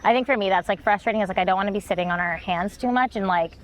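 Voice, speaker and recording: Young woman, podcast guest. Rapid, animated, casual delivery. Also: medium pitch. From United States. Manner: fast, animated, heavy use of "like", laughs often. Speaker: guest, social media manager.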